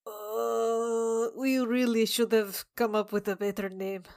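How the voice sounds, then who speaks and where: A woman's voice: a little high, flat delivery, takes it slowly, darkish tone, dry recording, studio quality. A woman improvising casual, flippant in-character banter as a player in a tabletop roleplaying game.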